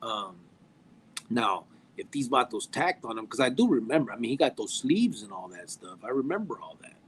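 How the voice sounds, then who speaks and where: A man, chatting casually on a podcast, halting with fillers and restarts and mixing in Spanish slang. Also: medium pitch, very animated, a little hiss or hum, poor audio. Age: 40s. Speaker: podcast guest.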